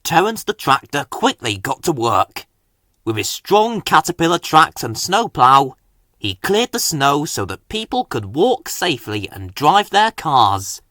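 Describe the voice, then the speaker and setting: A man reading a children's storybook aloud as a scripted narrator, with an expressive, animated delivery. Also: on the high side, measured pace, dry recording, studio quality. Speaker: story reader.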